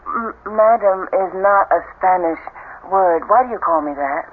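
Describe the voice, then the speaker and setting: An actress playing an anxious, easily frightened new bride in a radio drama, halting and pleading. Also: female voice, mid-range voice, natural voice. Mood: uneasy. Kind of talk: 1970s radio drama acting. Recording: tinny, noisy old radio recording.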